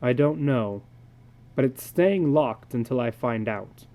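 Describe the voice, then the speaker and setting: Casting: man playing a troubled, strict dad in a dramatic story, mellow and unhurried. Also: mid-range voice, lively intonation. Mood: troubled, brooding. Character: stern, troubled father, frustrated with his children.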